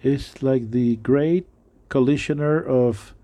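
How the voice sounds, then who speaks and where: Middle-aged male preacher, non-native English accent, halting delivery, warm mellow voice, mid pitch. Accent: non-native English speaker. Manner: halting, many fillers and restarts. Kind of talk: sermon.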